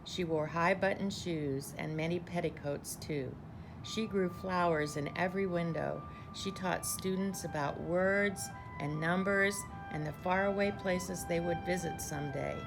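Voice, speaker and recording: A woman reading a children's picture book aloud like a teacher, with measured, deliberate storytelling and clear phrasing. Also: on the low side, music in the background.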